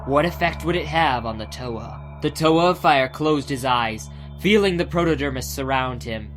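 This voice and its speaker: A man's voice: medium pitch, expressive, normal speed, fairly bright tone. A man giving a scripted, dramatic narration of a fantasy audiobook. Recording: music behind the voice.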